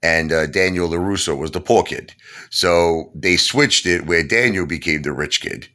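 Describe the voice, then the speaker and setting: Deep-voiced rambling Brooklyn man. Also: moderate pace. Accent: New York. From Brooklyn, New York. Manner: rambling, animated storyteller with many fillers. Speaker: channel host. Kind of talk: casual chat.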